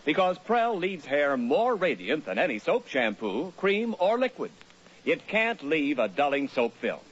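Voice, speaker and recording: An American man reads a 1940s radio commercial. His husky voice rises and falls animatedly in a polished, emphatic sales style. The old broadcast sounds muffled. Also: on the high side, big pitch swings, a little slow. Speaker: radio announcer. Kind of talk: 1940s radio advertising read.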